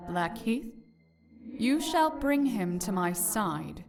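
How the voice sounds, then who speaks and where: A woman playing an imperious, menacing vampiric goddess in a dark fantasy visual novel, languid and commanding. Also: medium pitch, very animated, takes it slowly. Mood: quietly menacing. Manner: languid, commanding, breaking into whispers. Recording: music behind the voice.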